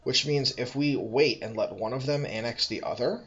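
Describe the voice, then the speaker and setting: A man's voice: mid-range voice, moderate pace, a bit bright, lo-fi sound. A man thinking aloud as he plays a strategy game, musing, correcting himself and making dry asides. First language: English. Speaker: let's play host.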